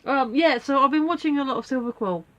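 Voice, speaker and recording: A woman's voice: high voice, fast, a bit bright, lo-fi sound. A woman with a stuffy nose from a cold, rambling casually with many fillers in a daily video diary from home. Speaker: vlogger.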